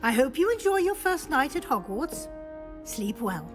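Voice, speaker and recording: A kindly professor in a video game. Her voice is high and moves with lively melody, brisk yet caring. Quiet music plays underneath. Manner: caring, orderly. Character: kindly, brisk woman schoolteacher. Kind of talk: video game dialogue acting.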